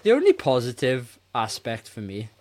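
A man's voice: medium pitch, wildly swooping pitch, normal speed, slight background noise. A man in his forties co-hosting a casual film review podcast, speaking in a blunt, exasperated way. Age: 40s. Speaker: podcast co-host.

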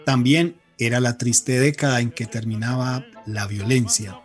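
A man's voice: mid-range voice, steady tone, a little slow, natural voice. A man giving scripted narration for a radio drama. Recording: music behind the voice.

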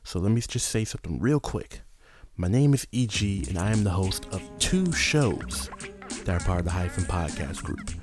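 A man speaking as a podcast host, making a casual promotional pitch to listeners. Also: animated, normal speed, a little mellow. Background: music.

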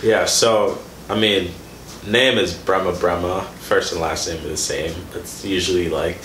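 Deep-voiced young man in an echoey room. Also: steady tone, a little slow, a bit bright. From born in Sudan, raised in Kenya. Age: 20s. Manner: informal, slangy. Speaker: interview guest. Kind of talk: casual conversational interview, personal storytelling. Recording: echoey room with some background noise.

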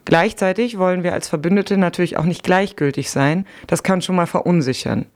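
A woman hosting a podcast, reading her scripted narration in a calm, explanatory way. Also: lowish voice, a little fast. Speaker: podcast host.

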